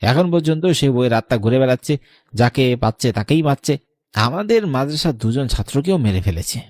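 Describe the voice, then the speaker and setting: A man's voice: mid-range voice, lively intonation, quick pace, darkish tone, no room sound, lightly acted. A man voicing an earnest, anxious but determined young madrasa student in a horror cartoon.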